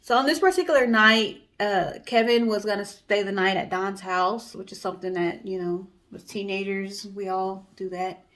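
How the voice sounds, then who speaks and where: A woman's voice: medium pitch, moderate pace, fairly bright tone. A woman co-hosting a storytelling show, talking in a casual, conversational way with chatty explanatory asides. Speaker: co-host telling stories in a guessing-game show.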